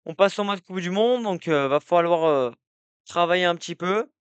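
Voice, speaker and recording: A man's voice: high voice, moderate pace, smooth, no echo, lo-fi sound. A young man hosting a casual football live stream, talking to his chat in a rambling way, full of fillers and restarts. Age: young adult. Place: indoor room at night.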